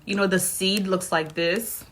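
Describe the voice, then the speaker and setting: A woman's voice: mid-range voice, steady tone, unhurried, fairly bright tone. A woman whose native language is Haitian Creole, chatting casually and cheerfully to her viewers as the host of a vlog. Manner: upbeat, chatty, addresses viewers directly.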